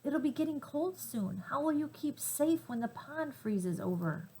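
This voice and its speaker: A woman's voice: sits high, expressive, unhurried, darkish tone, a little hiss or hum, voice pushed up, poor audio. A woman voicing a tender, earnest young girl in a storybook, with an encouraging, hopeful manner. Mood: pleading, concerned. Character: tender, earnest young girl who loves a lame goose. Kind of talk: storybook character voice.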